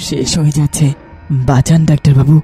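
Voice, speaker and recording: A man voicing a desperate village father in an animated horror story, begging urgently with halting repetitions. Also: a little high, breathy edge, soft background music. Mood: desperate, pleading. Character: desperate pleading village father, anxious for his only son.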